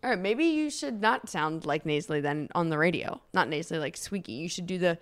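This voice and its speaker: A woman's voice: lowish voice, animated, brisk, no room sound. A woman co-hosting a casual podcast, reading lines from a book aloud and teasing her co-host with playful asides.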